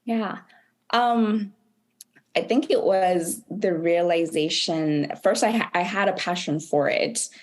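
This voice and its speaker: A woman from Zimbabwe, an author guest on a podcast, telling her story in a measured, reflective way with soft laughs. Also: lowish voice. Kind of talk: podcast interview.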